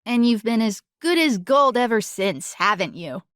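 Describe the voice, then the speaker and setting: A woman's voice: on the high side, animated, moderate pace, a bit bright, no room sound, clean studio sound. A woman playing a clever, smug teenage girl in a full-cast audiobook, with a wry and teasing way of talking. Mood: wry, knowing.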